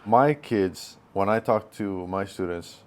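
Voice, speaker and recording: A young adult man hosting an interview, talking in an earnest, measured way. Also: low voice. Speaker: interview host. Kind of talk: casual interview conversation.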